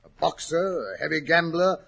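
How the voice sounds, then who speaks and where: An actor playing a genial, easily puzzled older English gentleman doctor in a 1940s radio drama, chatty and a little hesitant. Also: a little high, lively intonation, normal speed, heavily acted. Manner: hesitant, chatty, old-fashioned exclamations. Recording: muffled, rough 1940s radio recording.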